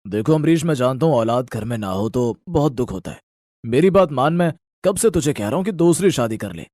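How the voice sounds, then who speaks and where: A man's voice: medium pitch, expressive, fairly quick, a little mellow, dry recording, clean studio sound, lightly acted. A man voicing an insistent, persuasive elder brother in a dramatised moral story. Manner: persuasive, pressing. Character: insistent elder brother urging his sibling.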